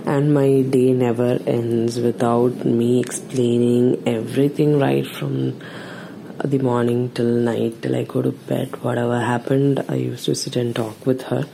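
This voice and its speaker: A woman from India, a native Tamil speaker, talks earnestly with a very low, gravelly voice. She hesitates often and fills pauses, and a faint hiss of room sound sits behind her. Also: level tone, dark tone, low-quality recording. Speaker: podcast guest. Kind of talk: casual recorded message.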